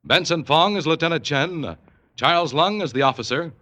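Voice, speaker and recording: Bright, higher-pitched male radio announcer. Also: lively intonation, normal speed. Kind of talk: 1940s-50s radio credits announcement. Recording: vintage radio, faint music behind.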